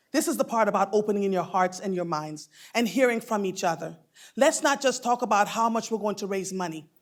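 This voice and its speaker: Woman, fifties, boomy voice, effusive emcee, middle pitch, calls people darling. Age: 50s. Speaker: mistress of ceremonies. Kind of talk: conference emcee address.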